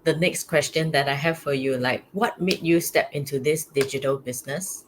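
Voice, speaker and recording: A woman's voice: low-pitched, moderate pace, a little hiss or hum. A woman hosting a live stream interview, upbeat and full of gushing thanks and reactions. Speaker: host.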